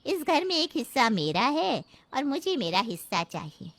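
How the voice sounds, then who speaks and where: A woman voicing a greedy, pushy aunt in a cartoon moral story, with an indignant, drawn-out delivery. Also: high-pitched, sing-song, fairly bright tone, exaggerated voice. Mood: entitled, demanding. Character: pushy, shrill, greedy paternal aunt demanding her share.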